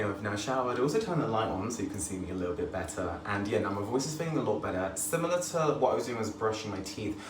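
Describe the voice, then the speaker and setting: Chatty young man with a low voice. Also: a little hiss or hum. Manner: chatty and upbeat, self-deprecating asides. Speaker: musical theatre student. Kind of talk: casual vlog narration.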